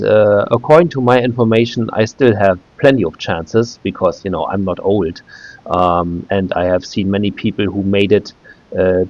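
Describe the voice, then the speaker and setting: German native speaker, male, 30s, low-pitched, halting casual vlogger, dry close recording. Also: a little mellow, no room sound. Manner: hesitant, many "uh" fillers and restarts. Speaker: video creator. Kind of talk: casual screen-recorded walkthrough.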